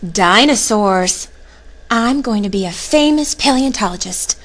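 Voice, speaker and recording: A woman voicing an excitable, boastful little boy kitten from a storybook in a dramatic, playful manner. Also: mid-range voice, a little slow. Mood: excited whispering.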